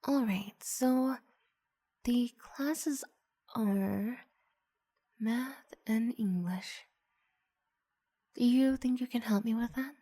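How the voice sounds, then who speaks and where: A woman voicing a shy, flustered, adoring female student in an ASMR roleplay, hesitant and gushing in breathy half-whispers. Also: a little high, very slow, pauses often, dark tone, voice pushed up, full character voice. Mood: hesitant, earnest.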